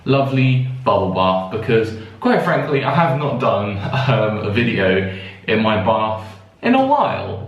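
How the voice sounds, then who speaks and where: A young British man telling a casual story to viewers in a chatty, rambling way that often interrupts itself. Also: medium pitch. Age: young adult. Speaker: vlogger. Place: indoors in a bathtub in a bathroom. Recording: echoey bathroom with music behind.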